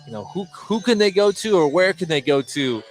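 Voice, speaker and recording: A man's voice: high-pitched, very animated, fairly quick, faint music behind. An American man doing live radio play-by-play of a basketball game, describing the action in clipped running phrases. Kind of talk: live radio sports commentary. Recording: noisy gym ambience, bouncing basketballs. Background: busy gymnasium with basketball bounces.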